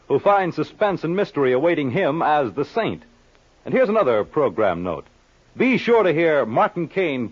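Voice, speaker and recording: A man's voice: medium pitch, slightly rough. A man reading a scripted programme promo as a 1950s radio network announcer. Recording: dull lo-fi old radio recording.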